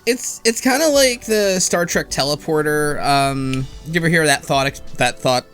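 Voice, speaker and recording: A man hosting a casual podcast, animated and stammering through his ideas. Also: on the high side, normal speed, soft background music. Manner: animated, stammers and restarts often. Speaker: podcast host. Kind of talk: casual chat.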